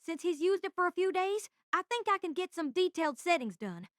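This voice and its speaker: A woman's voice: very high-pitched, sing-song, brisk, no echo, studio quality. A woman voicing a friendly, easygoing young male weapons technician with a mild, helpful manner in an audio drama. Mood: sheepish, helpful.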